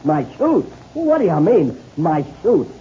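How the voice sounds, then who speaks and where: An actor playing a fretful, stubbornly loyal airplane sidekick in a 1930s radio serial, stammering in folksy, ungrammatical speech. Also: male voice, on the high side, big pitch swings, a little slow, mellow. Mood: indignant, stubborn. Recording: dull, noisy old radio recording.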